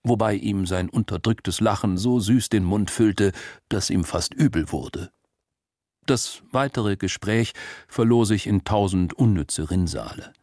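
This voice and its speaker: Male narrator, fairly low pitch, expressive measured storytelling, scripted literary prose, very dry recording. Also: dry recording.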